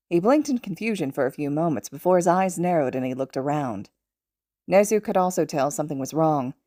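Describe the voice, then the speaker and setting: A woman's voice: fairly low pitch, mellow, no echo, clean studio sound. A woman reading a story aloud as a scripted narrator. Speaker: podfic narrator.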